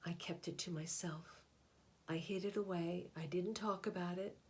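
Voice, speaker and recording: Low-pitched woman reading a storybook aloud. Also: fairly even intonation, normal speed. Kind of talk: picture book read aloud.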